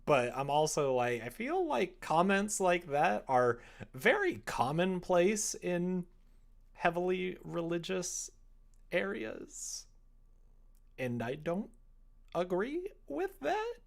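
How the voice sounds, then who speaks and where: A man's voice: sits high, very animated, slow pace, vocal fry. A young man with an American accent chats casually on a livestream, rambling expressively as he reacts to comments. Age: young adult. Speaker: live streamer. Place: indoor room at a desk.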